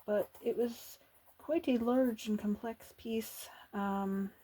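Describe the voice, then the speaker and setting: Woman, soft-spoken ASMR artist; husky, breathy tone, hesitant and thinking aloud. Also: on the high side, steady tone, slow pace. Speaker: artist drawing on camera.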